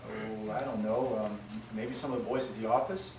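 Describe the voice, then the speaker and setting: An actor playing a hesitant, slightly defensive husband in an old-style radio mystery. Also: male voice, fairly low pitch, steady tone, moderate pace, darkish tone, close to an everyday voice. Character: hesitant, soothing husband roused at night. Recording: roomy, distant stage recording, faint noise.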